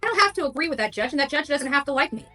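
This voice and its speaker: High, bright, defiant woman. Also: expressive, rapid-fire, soft background music. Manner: defiant, emphatic, combative. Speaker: livestreamer covering a case. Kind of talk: informal livestream monologue.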